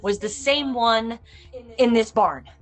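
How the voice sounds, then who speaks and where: A woman's voice: on the high side, slow. A woman playing a tough, blunt, foul-mouthed cop wounded by her past, in a film script read-through. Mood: determined. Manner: earnest, blunt, swearing.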